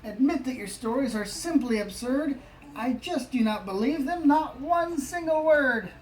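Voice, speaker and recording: A woman's voice: high voice, animated, a little slow, darkish tone. Woman acting a sneering, stern schoolmaster in an echoey room. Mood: exasperated. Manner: sneering, clipped, scolding. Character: stern, scornful male schoolteacher, easily exasperated. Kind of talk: storybook character reading. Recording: echoey, distant, faint background noise.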